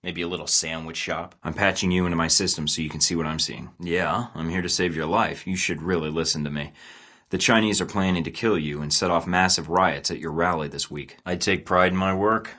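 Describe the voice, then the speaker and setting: A sardonic secret agent, his voice very low yet bright and pushed forward. He trades dry, sarcastic quips in clean studio game voice lines. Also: fairly even intonation, a little fast. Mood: wry. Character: wry male spy agent, adult. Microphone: studio mic.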